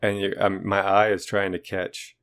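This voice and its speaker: A man with a naturally deep voice, co-hosting a podcast in a rambling, self-deprecating chat. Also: monotone, normal speed, fairly bright tone. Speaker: podcast co-host. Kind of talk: casual chat.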